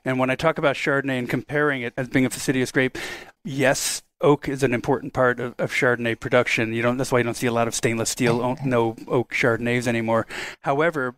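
A man who makes wine, talking at length in a casual roundtable, joking and relaxed, with frequent restarts. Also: mid-range voice, fast, dry recording. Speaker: winemaker guest.

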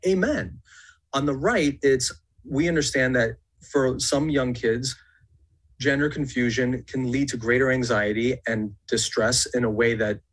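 A man explaining his view in a measured, conversational way on a podcast panel. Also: medium pitch, poor audio. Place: indoor room, remote podcast call.

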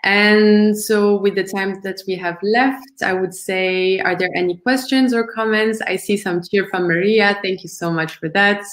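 A woman's voice: mid-range voice, steady tone, unhurried, hardly any pauses. Woman presenting over an echoey video call. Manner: earnest, thoughtful, with frequent ums and restarts. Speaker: project founder presenting. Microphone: video call webcam.

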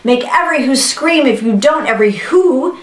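A woman's voice: high voice, animated, moderate pace. Storybook elephant, male, big-hearted and anxious, played by a woman. Urgent, pleading delivery, heard from a little way off in an echoey room. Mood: alarmed, urgent. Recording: roomy echo, distant microphone.

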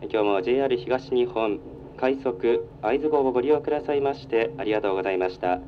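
A man working as a train conductor makes formal, polite onboard announcements over a public address speaker. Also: fast. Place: inside a moving train. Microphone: recorded from the carriage speaker. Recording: muffled train PA, rumbling vehicle noise. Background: train running noise.

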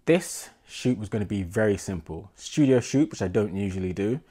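A man in his thirties with a London accent, a portrait photographer vlogging to camera in a small home room, congested with a cold, talking in a relaxed, rambling, self-deprecating way. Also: on the low side, moderate pace, slightly rough. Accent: London English. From England. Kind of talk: casual talking-to-camera vlog.

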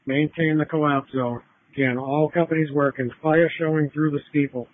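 A man's voice: on the high side, steady tone, normal speed, no room sound. A man working as a fire alarm dispatcher, giving formal announcements in short, repeated, clipped phrases over a two-way radio. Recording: narrow-band fire radio scanner audio.

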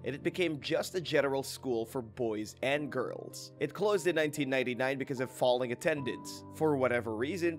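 A man giving scripted documentary-style narration for a countdown video, punching up each numbered heading. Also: mid-range voice. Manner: emphatic on countdown headings, upbeat and even. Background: soft background music.

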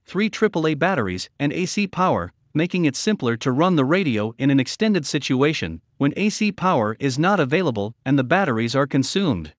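A man delivers a scripted product review voice-over. His voice sits a little high and moves in animated swings of pitch, as he reads promotional copy. The recording is dry and close, with no room sound. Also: fairly quick, hardly any pauses, no room sound. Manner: reading from a script.

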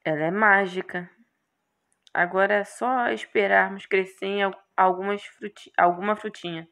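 A woman voicing a calm, literal-minded horned male magician in a game, speaking in a dry, measured way. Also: mid-range voice, natural voice. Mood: instructive.

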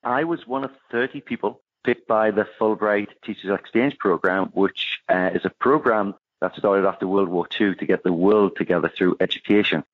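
A man with a Geordie English accent talks as a guest chef, easygoing and chatty with frequent "uh" pauses and ready laughs. His voice sits on the low side. It comes through a thin, tinny phone line with no room echo. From northeast England. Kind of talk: casual interview chat.

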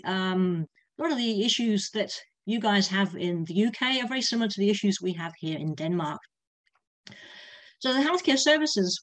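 An older woman with a New Zealand accent, a nurse giving a steady talk from slides over a video call from home. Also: medium pitch, low-quality recording. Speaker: consultant nurse presenter. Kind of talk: presenting a lecture. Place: room at home. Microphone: laptop webcam on a video call.

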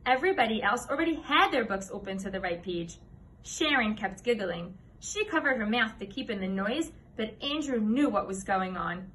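A woman in her thirties reads a children's storybook aloud in an expressive, clearly phrased storytelling manner, like a teacher. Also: mid-range voice, moderate pace, slight background noise, rough recording. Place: indoor classroom. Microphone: camera or phone a few steps away.

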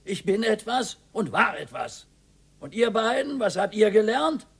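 A grumpy retired husband in a radio play who flares up easily. His voice sits high for a man and sounds gravelly and husky. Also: big pitch swings, measured pace, a bit bright, full character voice. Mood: indignant. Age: older. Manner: blustering, indignant. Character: grumpy retired older husband, quick to bluster.

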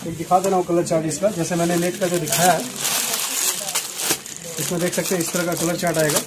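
A man's voice: a little high, level tone, quick pace. A man in his thirties presenting clothing stock on camera in salesman's patter, mixing in English words. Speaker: garment wholesaler presenting stock. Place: small indoor clothing shop. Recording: clearly noisy shop audio with clicks.

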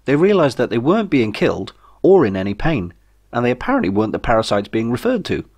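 A man's voice: medium pitch, huge pitch swings, fast, a little mellow. A man narrating a comic science fiction audiobook in a wry, dry manner. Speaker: author reading own audiobook.

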